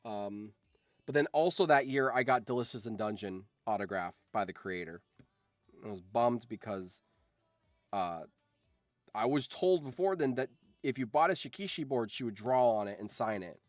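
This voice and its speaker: A man's voice: mid-range voice, expressive, moderate pace, no echo, studio quality. A man chatting casually on a livestream, telling anecdotes in an informal, sweary, enthusiastic fan's way. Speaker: streamer and voice actor.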